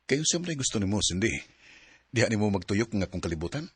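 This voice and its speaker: Low-voiced, offhand Cebuano boyfriend. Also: male voice, very animated, quick pace, breathy edge, dry recording, exaggerated voice. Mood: irritated. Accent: Cebuano Filipino. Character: distracted, dismissive young boyfriend. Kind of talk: radio drama acting. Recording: noisy radio drama audio with clicks.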